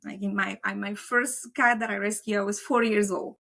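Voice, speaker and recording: Brief: Colombian-accented woman guest, chatty and loose, slight vocal fry. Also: mid-range voice, steady tone, normal speed, a bit bright. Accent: Colombian Spanish. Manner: chatty, rambling, many "like" fillers. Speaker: guest, veterinary technician and instructor. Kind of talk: casual interview chat. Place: home setup, remote recording. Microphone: video call.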